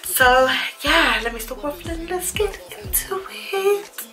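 A woman's voice: very high-pitched, animated, slow pace, recorded from a distance, over music, poor audio. A woman, chatting casually and gushing through a clothing try-on haul, with slangy asides. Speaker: fashion vlogger. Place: small bedroom indoors. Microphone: camera on a stand a few feet away.

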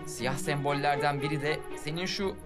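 An eager schoolboy adventurer played by a voice actor in a children's cartoon dub. The voice is confident and youthful, with mid pitch. Loud music plays behind it. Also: steady tone, moderate pace, lightly acted. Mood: confident, curious. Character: plucky adventurous schoolboy hero. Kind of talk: dubbed children's animated adventure.

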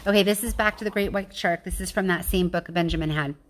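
Give me a lower-voiced woman reading picture books aloud. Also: quick pace. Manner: explanatory, exclaims and questions listeners. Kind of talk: reading a children's picture book aloud with informal asides.

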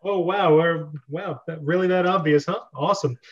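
Young male host, fairly high voice, casual script-club direction over a muffled video call. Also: moderate pace. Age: young adult. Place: small bedroom, on a video call. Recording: dull lo-fi video-call audio.

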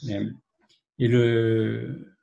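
A man, a novelist, speaking reflectively in an online literary conversation, pausing often with fillers and restarts. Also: medium pitch, completely flat delivery, gravelly, warm tone, highs cut off. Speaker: novelist, guest. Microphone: video call.

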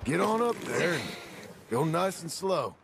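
An actor playing a patient middle-aged American cowboy ranch hand in a video game, with a gravelly voice and a reassuring drawl. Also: male voice, on the high side, sing-song, a little slow, background noise, heavily acted. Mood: calm, reassuring. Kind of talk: naturalistic video game cutscene acting.

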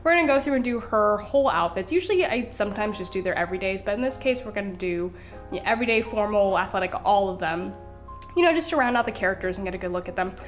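A woman's voice: mid-range voice, animated, quick pace, soft background music. A young woman chatting casually while she builds characters in a game, a little stuffy from being sick, rambling with lots of "like" and "you know" and small laughs. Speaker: Sims gameplay creator. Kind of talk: casual chat over gameplay.

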